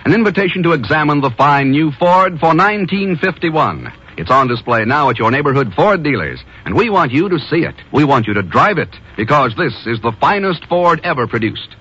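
A man with an American accent announcing and pitching sponsors in a polished, emphatic 1950s radio style. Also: big pitch swings, fairly quick, a bit bright, husky, lo-fi sound. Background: music.

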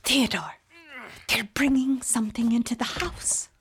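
A woman's voice: fairly high pitch, unhurried, a bit bright, slight background noise. An actress playing an anxious middle-aged mother in a radio drama, with a fretful, dramatic delivery. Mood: hushed alarm.

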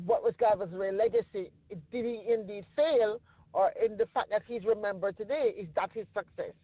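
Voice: mid-range voice, no room sound. Caribbean podcast host, earnest and reflective, casual discussion, over a phone-quality line. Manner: earnest, reflective, with frequent "you know" and "okay?" checks. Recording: tinny phone-line sound, dry.

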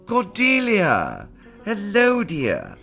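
A man's voice: high-pitched, wildly swooping pitch, extremely slow, gravelly, pushed and bright, soft background music, exaggerated voice. An actor playing a flamboyant male socialite who teases and gushes theatrically. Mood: gushing. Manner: gushing, theatrical, teasing. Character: flamboyant middle-aged male socialite matchmaker.

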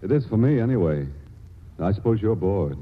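A man's voice: lowish voice, lively intonation, moderate pace. An actor playing an earnest, shy male chemist in love, talking hesitantly and stammering in 1940s radio drama style. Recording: muffled old radio broadcast with faint hiss.

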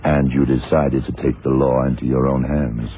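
A man acting a calm, shrewd FBI agent in a radio drama, speaking in a measured, probing, matter-of-fact way. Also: very deep voice. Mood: coolly probing. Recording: tinny, narrow-band, noisy old radio recording.

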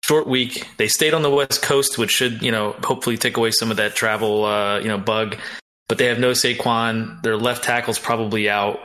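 Guy breaking down bets on a podcast, bright forceful voice, short halting phrases. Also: fairly low pitch, steady tone. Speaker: sports betting panelist. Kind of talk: casual analytical chat.

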